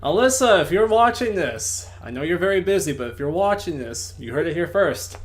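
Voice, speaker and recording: A man interviewing a guest in a casual online chat, animated and expressive, laughing often. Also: sits high, a little fast. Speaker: interviewer, voice actor.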